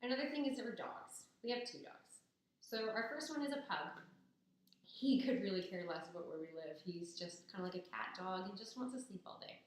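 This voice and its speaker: A young woman from Ontario, hoarse and raspy after a cold, giving a chatty, upbeat persuasive talk to the camera. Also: medium pitch, moderate pace. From Ontario, Canada. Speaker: business student. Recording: very echoey, roomy sound.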